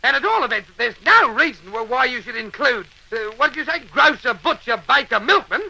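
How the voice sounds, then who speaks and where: Exasperated Australian schoolmaster, very high piercing voice, stammering and scolding, old-time radio comedy. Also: male voice, animated, brisk, creaky, sharp tone, heavily acted, poor audio. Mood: exasperated, spluttering. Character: exasperated, blustering male schoolteacher bossing silly pupils.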